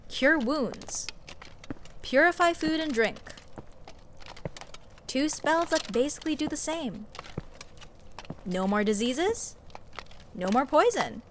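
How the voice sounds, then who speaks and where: A woman's voice: high-pitched, animated, slow pace, long pauses. A woman playing a snarky, nitpicking sorceress in a comedic fantasy audio roleplay, sarcastic and exasperated as she rambles. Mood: incredulous. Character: snarky, nitpicking sorceress adventurer, scoffing at absurdity. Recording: clear background ambience noise, clicks. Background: dungeon ambience.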